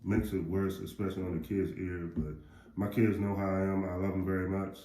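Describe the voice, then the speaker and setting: A middle-aged American man with a deep voice, chatting casually in a rambling, plain-spoken way as a parent giving advice. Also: flat delivery, fairly quick, mellow. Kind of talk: casual chat. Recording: roomy echo, faint background noise.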